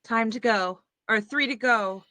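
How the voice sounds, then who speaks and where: Horror table read: woman as a defiant young heroine under threat, sharp, forceful voice, blunt delivery, dry sound. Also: fairly high pitch, measured pace, dry recording. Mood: exasperated. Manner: tense, blunt, profane. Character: defiant, frightened young heroine fighting back.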